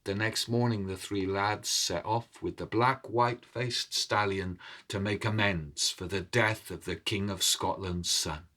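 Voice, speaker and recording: A man telling a story in a measured, dramatic way, with deliberate pauses between phrases. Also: lowish voice, steady tone, bright, forceful tone. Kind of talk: dramatic oral storytelling narration with music. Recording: cello and violin music behind.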